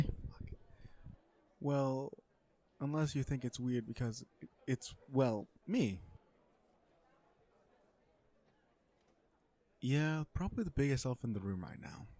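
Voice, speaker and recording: A mellow, relaxed male voice of middling pitch acted by a man. He is a villain out on a blind date, blunt and earnest yet socially awkward. He hesitates, then explains himself in deadpan, legal-minded fashion, as in a romantic comedy audio roleplay. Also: measured pace, lightly acted. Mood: awkward, resigned.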